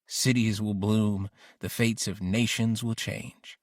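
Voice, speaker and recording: A man's voice: on the low side, flat delivery, takes it slowly, no room sound, clean studio sound. A man narrating an audiobook diary as an ambitious, lovesick teenage boy, introspective and intense. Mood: grandiose. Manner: introspective, self-questioning, intense.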